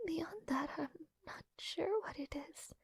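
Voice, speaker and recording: Woman doing a frail gentle princess for fantasy audio rp, high breathy whispery voice, super slow n formal, close dry sound. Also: no room sound, full character voice. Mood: hesitant, confiding. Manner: slow, hesitant, softly formal. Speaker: voice actor in audio roleplay. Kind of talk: intimate romantic fantasy audio roleplay.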